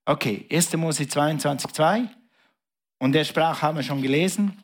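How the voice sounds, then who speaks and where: Man preaching with dramatic swings. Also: moderate pace. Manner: preaching with big swings in pitch and volume, dropping to a hush. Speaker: pastor. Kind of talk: sermon.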